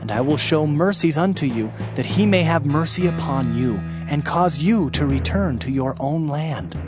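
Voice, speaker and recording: A man playing a solemn prophet who delivers God's warnings in a dramatized scripture reading, with a measured, grave, declamatory manner. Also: fairly high pitch, lively intonation, over loud music, close to an everyday voice. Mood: solemn, assuring.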